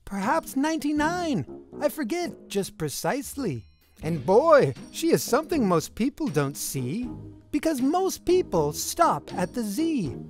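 Female children's storyteller, high register, swooping expressive delivery, theatrical sing-song rhyme, music underneath. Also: unhurried. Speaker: storybook reader. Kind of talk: expressive read-aloud of a rhyming children's picture book. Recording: music behind the voice.

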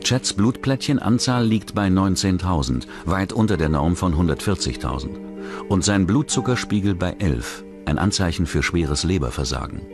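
A man's voice: low voice, lively intonation, normal speed. A man delivering scripted narration for a true-crime documentary in the role of narrator. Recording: narration over music bed. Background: music.

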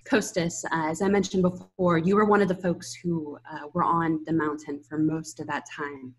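A woman's voice: low-pitched, level tone, normal speed, poor audio. A woman in her thirties moderating an online public briefing, speaking from an indoor room on a video call. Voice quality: husky, creaky.

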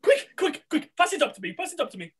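A woman's voice: high-pitched, big pitch swings, fast talker. A woman playing a scheming, impatient male pantomime villain in a theatrical, insistent read-through. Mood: impatient, demanding. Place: indoors at home, on a video call.

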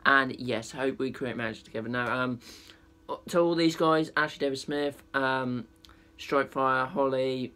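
A young man with a croaky voice giving rapid, rambling shout-outs to his viewers from a small bedroom, speaking into a laptop webcam. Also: mid-range voice, steady tone. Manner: rapid, rambling delivery with many fillers. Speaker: channel host and amateur singer.

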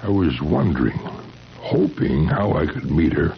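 A man acting in a 1970s radio drama as a shy, earnest, lovestruck engineer, speaking haltingly and eagerly. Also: very deep voice, animated, gravelly, over a noisy crowd, exaggerated voice. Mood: wistful recollection. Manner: halting, eager, repeating himself. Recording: dull vintage radio recording with music.

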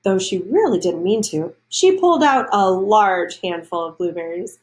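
A woman's voice: mid-range voice, lively intonation, normal speed. A woman reading a children's storybook aloud, adding explanations and questions for young listeners.

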